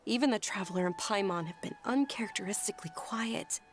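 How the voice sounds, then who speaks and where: A woman playing a gentle, caring young noblewoman in a video game, speaking politely and with concern. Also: mid-range voice, fairly quick, natural voice. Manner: polite, solicitous. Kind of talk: video game character acting. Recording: music behind the voice. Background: clear background music.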